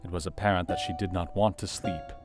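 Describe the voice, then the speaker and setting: An actor playing an earnest, caring Victorian doctor in a radio play, narrating his diary in a measured, formal way. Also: low-pitched, steady tone, close to an everyday voice. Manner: measured, formal, literary delivery. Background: plucked guitar music.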